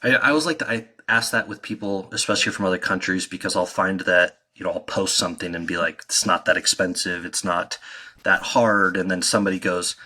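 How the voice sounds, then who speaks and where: An American man hosting a podcast, chatty and relaxed. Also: sits low, brisk, slightly rough. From United States. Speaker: podcast host. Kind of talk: casual podcast chat.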